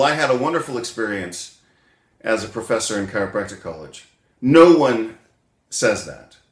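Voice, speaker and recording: A man's voice: fairly low pitch, echoey room. A man recovering from bronchitis, a little wheezy, talking casually to his viewers in a rambling way, with many fillers and self-corrections. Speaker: chiropractic doctor and essay author. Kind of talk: casual spoken vlog, self-recorded.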